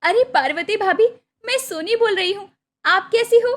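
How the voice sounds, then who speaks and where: A woman's voice: very high-pitched, moderate pace, voice pushed up. A woman voicing a hopeful, devoted young village sister in a cartoon story, earnest and pleading. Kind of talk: Hindi cartoon moral story acting.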